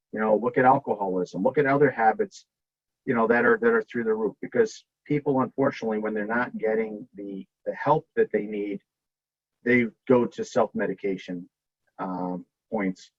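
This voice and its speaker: A man speaking off the cuff as a state representative on a panel, halting and often repeating and rephrasing himself. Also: fairly low pitch, slightly rough, dry recording, lo-fi sound. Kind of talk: unscripted panel remarks.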